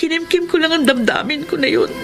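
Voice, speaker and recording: A woman's voice: sits high, quick pace, over music. An actress playing a hurt, lovelorn young mother in a Filipino radio drama, with an emotional, pleading delivery.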